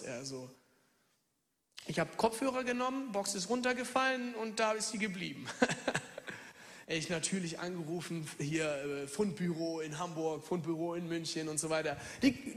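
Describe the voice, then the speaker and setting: A pastor in his thirties from Germany preaching a lively sermon, moving from loud calls to hushed near-whispers and speaking straight to his listeners. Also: sits high, long reverb tail. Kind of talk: lively sermon with personal stories.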